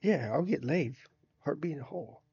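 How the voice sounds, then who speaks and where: A man co-hosting a podcast in casual chat, joking and chiming in with quick agreement. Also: fairly high pitch, animated, darkish tone, slightly rough, no echo. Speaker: podcast co-host. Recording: dull, dry lo-fi sound.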